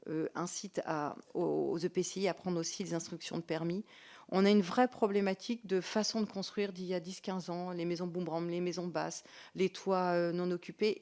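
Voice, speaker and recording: A woman's voice: lowish voice, darkish tone. A woman speaking as a local-government representative at a hearing, semi-formal and earnest, pressing her points emphatically. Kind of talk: semi-formal spoken testimony, answering questions.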